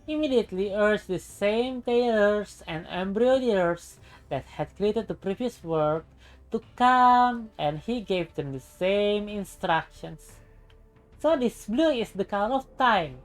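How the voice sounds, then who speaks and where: A woman narrates a visual novel like a storybook. Her reading is deliberate and expressive, at a middle pitch, with game music playing behind her. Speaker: streamer.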